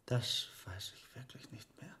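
An actor playing a learned, hesitant medieval monk herbalist in a radio drama, speaking in a deliberate, musing way. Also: male voice, sharp tone. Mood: pensive, doubtful. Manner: deliberate, musing, with reflective pauses. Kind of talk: German radio drama acting.

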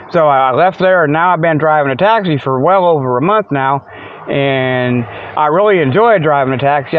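Higher-pitched folksy American man, rambling. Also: slight background noise. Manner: folksy, colloquial, forgetful rambling. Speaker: podcast host. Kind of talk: rambling comedic monologue in casual chat. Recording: slightly dull lo-fi, dry sound.

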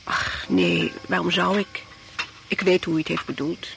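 An actress playing a proud, composed married mother in a Dutch radio play, earnest and measured in manner. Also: fairly low pitch, level tone, gravelly, fairly bright tone, soft background music, exaggerated voice. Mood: calm, loyal. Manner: earnest, measured, shuns self-pity. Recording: faintly noisy old radio play recording.

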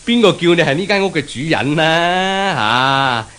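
A jovial, swooping radio-play gentleman. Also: fairly high pitch, animated, measured pace, clean tone. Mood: excited. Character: jovial, talkative Englishman, flirtatious club member. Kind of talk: 1970s Cantonese radio drama acting. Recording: hissy, noisy 1970s radio recording.

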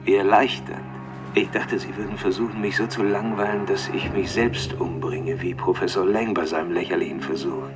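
A low-pitched, coolly superior killer played by a man speaks over music. His phrasing is measured and literary, that of a brooding, mocking thinker in a radio crime play. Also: fairly even intonation, natural voice. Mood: mocking, calm. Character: brooding, philosophical male killer, coolly self-assured and mocking. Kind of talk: German radio crime drama acting. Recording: music under the voice.

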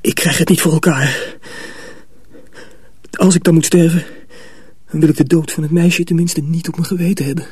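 A man acting in a radio drama as an earnest, troubled young mill apprentice, urgent and often hushed. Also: on the high side, fairly even intonation, normal speed, pauses often, slightly rough, no echo, clean studio sound.

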